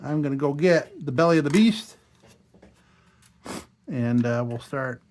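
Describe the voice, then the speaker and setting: A hoarse man talking casually to himself as he restores an old lantern at a workshop bench, thinking aloud. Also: medium pitch, expressive, unhurried, darkish tone, slight background noise. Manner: chatty, thinking aloud while working. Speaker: restoration channel host.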